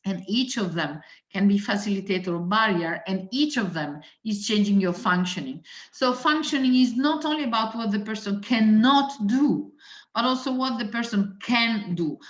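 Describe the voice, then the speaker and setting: A woman's voice: medium pitch, animated, normal speed. A woman doctor, a native Italian speaker with an Italian accent, giving a methodical webinar lecture that lists points with frequent hesitations. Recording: roomy, dull lo-fi audio.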